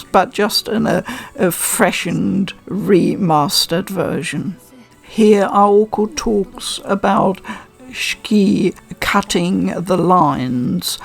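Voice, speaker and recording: A woman giving a measured, thoughtful and appreciative review in a casual explanatory style. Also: on the low side, vocal fry. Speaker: reviewer presenting a visual novel unboxing.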